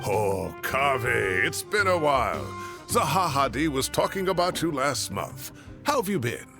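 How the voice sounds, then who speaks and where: A man's voice: mid-range voice, very animated, measured pace, vocal fry, bright, forceful tone, exaggerated voice. A man playing a genial, blustery old retired professor in a video game. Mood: genial, jovial. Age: older. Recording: game music underneath.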